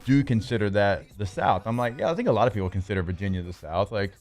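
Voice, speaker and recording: A man co-hosting a sports radio show, chatting casually and retelling a conversation with animated quotes. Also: lowish voice, quick pace. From Louisiana. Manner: animated storytelling, reads listener texts. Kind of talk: casual sports talk chat.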